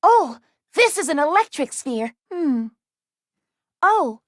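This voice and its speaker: Gentle anxious girl robot for a video game, high pitched, careful formal wording, super dry close sound. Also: big pitch swings, takes it slowly, no echo, full character voice. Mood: polite, curious. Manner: formal, precise, careful diction without contractions. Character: gentle, anxious young female robot, earnest and self-doubting. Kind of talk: video game character voice lines.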